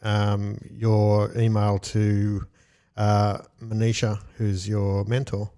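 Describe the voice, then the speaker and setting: A man's voice: on the low side, monotone, slow, dark tone. A man hosting a radio interview, friendly and a bit hesitant, with frequent restarts. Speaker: radio host.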